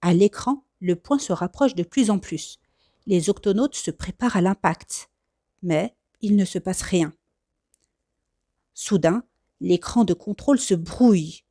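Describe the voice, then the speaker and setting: A woman reading a children's storybook aloud as expressive scripted narration. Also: lowish voice, unhurried, no echo, clean studio sound. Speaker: storybook reader.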